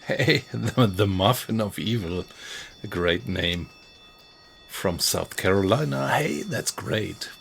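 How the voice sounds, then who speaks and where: A German man with a German accent, chatting with viewers as he streams a game, relaxed and often quiet. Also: lowish voice, takes it slowly, creaky, slight background noise. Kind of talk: casual gameplay commentary, chatting with viewers.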